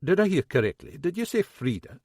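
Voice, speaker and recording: A man voicing a shrewd middle-aged Scottish detective inspector with a dry, brisk, commanding manner and a Scottish accent. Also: fairly high pitch, big pitch swings, darkish tone, slightly rough, dry recording, voice pushed up, full character voice. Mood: brusque.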